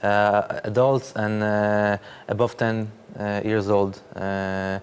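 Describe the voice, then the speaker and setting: Halting low-voiced man. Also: level tone, smooth. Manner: halting, many fillers and repeated words. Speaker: interview guest, startup founder. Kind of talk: studio interview.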